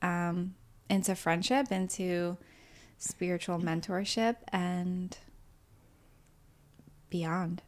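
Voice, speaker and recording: A woman's voice: lowish voice, fairly even intonation, very slow, long pauses. Upbeat woman in her thirties telling stories. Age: 30s. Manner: upbeat storyteller who laughs often. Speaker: podcast co-host. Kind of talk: casual storytelling.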